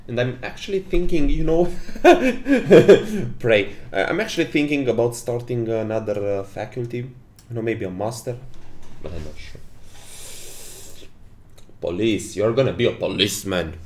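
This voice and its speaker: A man's voice: medium pitch, expressive, normal speed, darkish tone. A young man whose first language is not English, hosting a casual livestream and reading the chat aloud with lots of laughing. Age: 20s. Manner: giggly, reads chat aloud, frequent laughs. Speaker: game developer hosting a stream. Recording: roomy echo.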